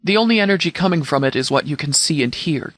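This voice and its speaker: A stern sheriff of a post-apocalyptic militia, played by a male actor in scripted game dialogue. He speaks formally and with measured authority, his voice pitched a little high for a man. The sound is dry and close, with little room around it. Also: expressive, fairly bright tone, no room sound. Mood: businesslike. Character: stern, commanding sheriff leading a wasteland militia.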